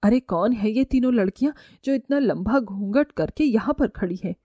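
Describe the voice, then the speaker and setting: A woman's voice: a little high, expressive, moderate pace, mellow, husky, no echo, clean studio sound. A woman voicing an indignant, snobbish middle-aged mother-in-law in a cartoon story, scolding with emphasis. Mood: baffled.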